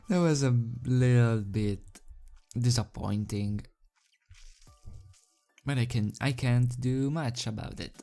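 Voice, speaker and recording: Slow, halting host over music. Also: mid-range voice, warm tone. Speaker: video host and fan fiction reader. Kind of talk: casual rambling chat, then storybook narration. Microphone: desk microphone without pop filter. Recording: background music under the voice.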